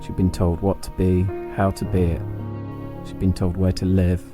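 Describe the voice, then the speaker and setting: A middle-aged man with an English accent and a low-pitched voice narrates his own story. His delivery is reflective and deliberate, broken into short phrases. Instrumental music plays clearly behind him. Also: monotone. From England. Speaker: podcast host and narrator. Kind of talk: autobiographical storytelling, scripted narration.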